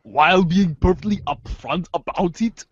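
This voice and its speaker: A man reads as a brainy teenage girl, sardonic and skeptical. The voice is somewhat high for a man and delivers long, dry, wordy lines. The recording is close but muffled and lo-fi. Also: normal speed, slightly rough. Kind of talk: readers theater of web comic chat logs. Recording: dull, lo-fi microphone sound.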